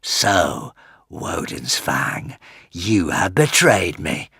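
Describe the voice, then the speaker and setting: A man's voice: deep voice, animated, a little slow, gravelly, bright, forceful tone, slightly rough, no room sound, clean studio sound, heavily acted. A man voicing a huge, savage, ancient male sea dragon who hisses with menace. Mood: accusing. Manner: hissing, furious, menacing.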